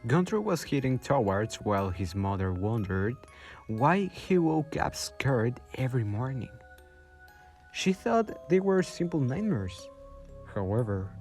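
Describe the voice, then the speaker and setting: A man's voice: medium pitch, creaky, darkish tone. A man giving dramatic scripted narration for a radio drama.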